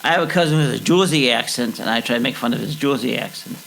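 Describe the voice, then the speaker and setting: A man's voice: medium pitch, very fast, creaky, a bit bright, a little hiss or hum. A man with a Midwestern accent, co-hosting a casual chat, hesitant and self-deprecating. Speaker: co-host.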